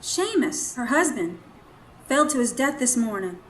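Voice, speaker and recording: A woman's voice: on the high side, sing-song, unhurried, low-quality recording. A woman acting in a radio drama as a kindly, plainspoken boarding-house keeper with a folksy, sympathetic manner. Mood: somber.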